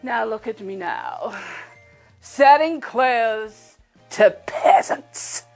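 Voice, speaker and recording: A woman's voice: a little high, drawn-out words, a little mellow, faint music behind. A woman playing a vain, scornful wicked queen in a pantomime, haughty, gloating and theatrical as she addresses the audience. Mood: indignant. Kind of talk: pantomime villain monologue to audience.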